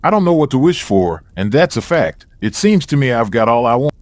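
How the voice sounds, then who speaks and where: A credulous old father played by a man in a dramatised audio story. He is sheepish and excitable, with a hesitant manner and a very lively swing in his voice, recorded dry and close. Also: mid-range voice, brisk, no echo, natural voice. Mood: sheepish, doubtful.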